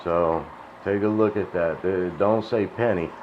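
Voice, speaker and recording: A man's voice: low voice, a little slow. Rambling folksy man, lo-fi. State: tired, dry mouth. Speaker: crypto video host. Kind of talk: casual rambling monologue. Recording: dull lo-fi sound with faint hiss.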